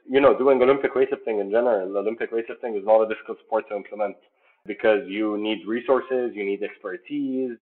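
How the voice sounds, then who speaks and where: A man from Jordan, founder of a sports program, answering interview questions in an earnest, measured way with many fillers. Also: mid-range voice. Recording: tinny phone-like line.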